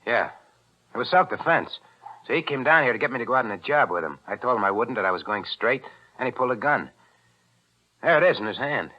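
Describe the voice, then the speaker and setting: A man's voice: mid-range voice, animated, fast, close to an everyday voice. An actor playing a cold-blooded gangster killer with slangy tough-guy talk in a 1940s radio thriller. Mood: wheedling. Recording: muffled vintage radio broadcast.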